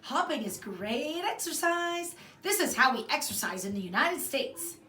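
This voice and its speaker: A woman's voice: high-pitched, very animated, normal speed, bright, forceful tone, a little hiss or hum, pitched above the performer's own voice, full character voice. A woman voicing a jumpy, awkward young girl in a storybook, chirping over-eagerly. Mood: nervous, over-eager. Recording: roomy echo, distant mic.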